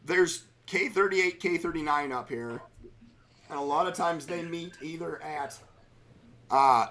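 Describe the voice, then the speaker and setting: A middle-aged man hosting a live train-watching stream, chatting casually in railroad jargon, his voice slightly hoarse from a cold. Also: on the high side, pushed and bright. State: slightly hoarse, under the weather.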